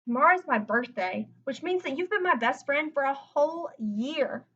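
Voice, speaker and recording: Woman as an eager, high-voiced schoolboy. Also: expressive, moderate pace, fairly bright tone, recorded from a distance, muffled recording. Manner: eager, exclaiming. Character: earnest, devoted schoolboy pleading for his pet.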